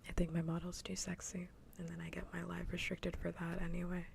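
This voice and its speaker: A woman chatting casually in a soft, breathy whisper on an ASMR livestream, with a wry, joking manner, close to the microphone. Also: lowish voice, monotone, fairly quick, slight background noise.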